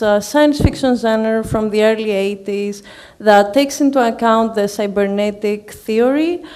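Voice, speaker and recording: A woman's voice: fairly high pitch, darkish tone. A young woman from Greece with a Greek accent, giving an academic talk with many fillers and hesitations. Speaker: guest speaker.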